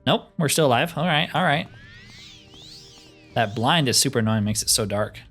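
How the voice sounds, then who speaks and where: A man's voice: mid-range voice, lively intonation, normal speed, a bit bright. Man thinking aloud over faint music. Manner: thinks aloud, wry grumbling. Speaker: gaming video host. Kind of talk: casual let's play commentary.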